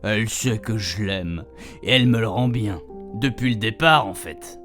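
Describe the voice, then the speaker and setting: A man's voice: on the low side, moderate pace, a bit bright, natural voice. An actor playing a chatty, proud retired husband in a radio fiction, rambling colloquially and speaking straight to his reader. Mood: fond, boastful. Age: older. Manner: colloquial, digressive, addressing the reader directly. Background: music.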